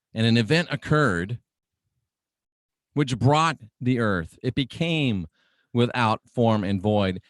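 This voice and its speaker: A man's voice: fairly low pitch, no echo, clean studio sound. A middle-aged man preaching on the radio in a dramatic, emphatic teaching style, repeating phrases for weight. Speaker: radio preacher and show host.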